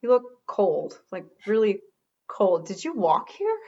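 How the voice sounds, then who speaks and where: A woman's voice: medium pitch, sing-song, a little slow, mellow, lo-fi sound. A woman playing a wry, regretful radio show host in a conversational comedy radio drama.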